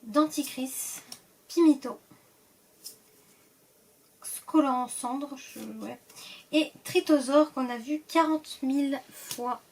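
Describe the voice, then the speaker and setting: A woman's voice: sits high, takes it slowly, long pauses, a little mellow, far from the mic, a little hiss or hum. A woman with a cold, casually opening card packs and reading the card names aloud, often hesitating and trailing off. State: stuffy from a cold. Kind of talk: casual pack-opening commentary.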